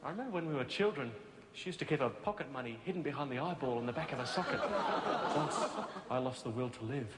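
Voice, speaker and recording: A man performing in a sitcom, mocking and teasing in an animated way. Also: medium pitch, quick pace. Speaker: comedian in a sitcom. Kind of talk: scripted sitcom comedy. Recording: noisy recording.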